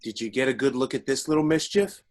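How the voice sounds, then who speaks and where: A man's voice: mid-range voice, quick pace, lightly acted. A man voicing a dry, grumbling male village CCTV operator at a table read. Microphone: video call.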